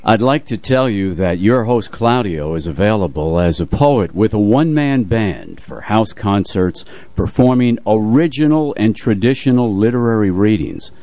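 An older man co-hosting a radio show, moving between relaxed chat and announcements. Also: on the low side, normal speed, hardly any pauses, no echo.

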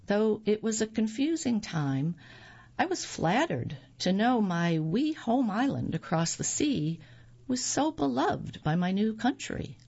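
A woman with a lowish voice reads magazine pieces aloud, steady and measured. The sound is dull and a little lo-fi, with the top end rolled off. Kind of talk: scripted magazine reading. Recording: dull lo-fi recording.

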